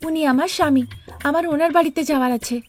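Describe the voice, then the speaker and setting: A woman's voice: high voice, a little fast, voice pushed up, lightly acted. A woman voicing a naive, stubborn young village bride in a cartoon, earnest, emphatic and pleading. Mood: indignant, insistent.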